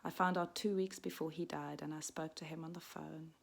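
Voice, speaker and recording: A woman in her forties, raised in Switzerland, reading a personal story aloud in a soft, reflective way that often drops to a half-whisper. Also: sits low, fairly even intonation, brisk, vocal fry. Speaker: midwife and storyteller.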